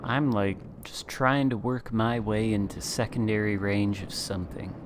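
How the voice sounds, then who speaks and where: A man chatting casually as a guest while playing a naval battle game, talking in a smooth late-night radio voice. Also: fairly low pitch, steady tone, normal speed, noisy background. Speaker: guest content creator. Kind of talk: casual gameplay chat.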